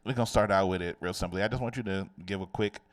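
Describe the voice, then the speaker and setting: A male host rambles loosely through his questions with lots of fillers. He talks fast in a low voice. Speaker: show host. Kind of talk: casual interview hosting.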